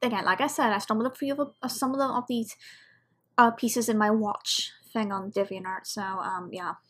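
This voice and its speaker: A woman's voice: fairly high pitch, fairly even intonation, normal speed, a bit bright. A woman giving casual reaction commentary as a video host, in an offhand, rambling way.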